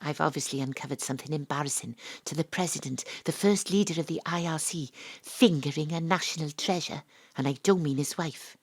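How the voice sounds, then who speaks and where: A woman's voice: deep voice, brisk, breathy edge. An actress playing a dry, sardonic woman detective who questions suspects and narrates her case in an audio drama. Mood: wry, confiding.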